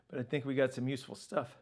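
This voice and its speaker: A man's voice: mid-range voice, darkish tone, natural voice. An actor playing a wry, friendly man in a mystery game, speaking in a conspiratorial way. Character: wry, friendly man helping investigate a poisoning.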